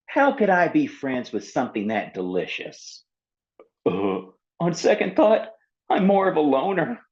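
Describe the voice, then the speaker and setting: A man's voice: on the high side, sing-song, unhurried, darkish tone, lo-fi sound, voice pushed up. A man voicing a defensive, sheepish seal who feels misunderstood, in a picture book read-aloud.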